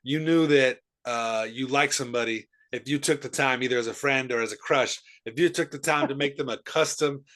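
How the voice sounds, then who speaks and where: Middle-aged man, podcast host, friendly, rambling, mid-pitched voice. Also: a little fast, hardly any pauses, a bit bright. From Seattle area, USA. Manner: friendly, rambling with frequent restarts. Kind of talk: casual conversational interview.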